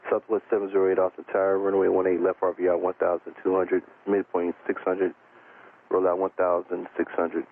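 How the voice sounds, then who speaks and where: Male air traffic controller, deep and fast, procedural and matter-of-fact, heard through a tinny radio link. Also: completely flat delivery. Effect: aviation radio. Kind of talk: clipped procedural radio instructions. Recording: narrow tinny radio transmission, faint static.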